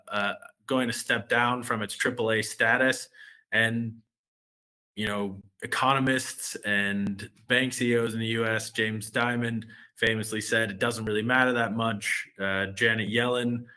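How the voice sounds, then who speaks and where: A man's voice: lowish voice, pushed and bright. An American man, a relationship manager, presenting a finance webinar in a loose way, with many fillers and restarts. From United States.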